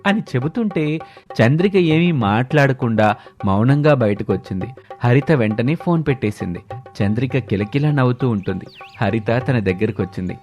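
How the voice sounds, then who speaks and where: A man's voice: mid-range voice, animated, moderate pace, darkish tone. A man narrating a scripted story as its storyteller. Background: background music.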